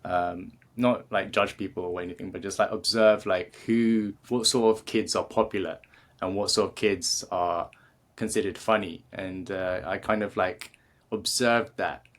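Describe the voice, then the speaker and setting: Low-voiced British man, hesitant. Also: steady tone, measured pace. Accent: British English. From England. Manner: hesitant, many fillers and restarts. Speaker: guest, creativity coach. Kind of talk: casual interview conversation.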